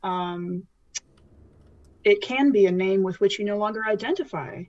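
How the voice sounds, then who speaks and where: A woman's voice: mid-range voice, moderate pace, muffled recording. A woman roleplaying as a player in a tabletop game, with thoughtful, formal wording. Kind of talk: improvised in-character roleplay.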